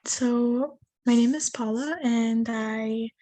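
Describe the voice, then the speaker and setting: A woman in her twenties from Miami, whose first language is Spanish, plays a counseling client. She speaks slowly and haltingly, with many fillers, and her pitch stays flat with little rise and fall. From Miami, USA. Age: 20s. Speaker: client in a practice session. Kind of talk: mock counseling intake conversation.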